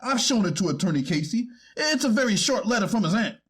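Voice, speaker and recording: Guy playing a defense lawyer, high husky voice, formal and deferential, courtroom reading. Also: sing-song, fairly bright tone, full character voice. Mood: deferential, earnest. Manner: deferential, formal, halting argument. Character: male defense attorney pleading for his client in court. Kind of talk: readers theater courtroom transcript reading.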